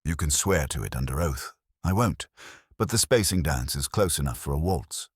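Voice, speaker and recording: A man's voice: a bass voice, lively intonation, moderate pace, breathy edge, dry recording, studio quality, full character voice. A man voicing a terse, businesslike private investigator in an audiobook, reporting in clipped, matter-of-fact phrases.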